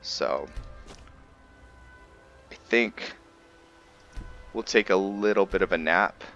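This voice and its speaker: Male gaming host, low and bright-edged voice, thinking aloud haltingly, with music underneath. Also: vocal fry, highs cut off. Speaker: gaming video host and roleplayer. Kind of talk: casual gameplay commentary with roleplay storytelling.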